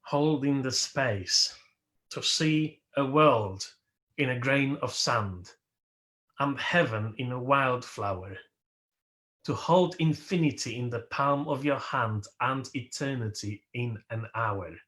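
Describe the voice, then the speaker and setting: A man whose first language is Polish, leading a worship service as its host and speaking slowly and reflectively, with emphatic rises, over a video call. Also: medium pitch, fairly bright tone. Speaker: worship leader. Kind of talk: sermon.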